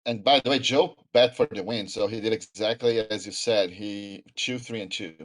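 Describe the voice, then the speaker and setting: Low-voiced male co-commentator. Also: moderate pace. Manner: chatty, agreeable, frequent fillers. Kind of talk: live color commentary.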